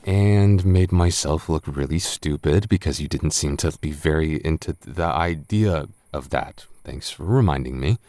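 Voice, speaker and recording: A man's voice: a bass voice, unhurried, few pauses, dark tone, no room sound. A young man acting a tipsy, flustered roommate hiding a crush in an intimate boyfriend roleplay, stammering and defensive in a conversational way. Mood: embarrassed, defensive.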